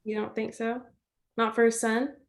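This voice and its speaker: A woman's voice: a little high, level tone, normal speed, lightly acted. A woman playing a caring country girl in a stage play, folksy and direct with her best friend. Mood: concerned.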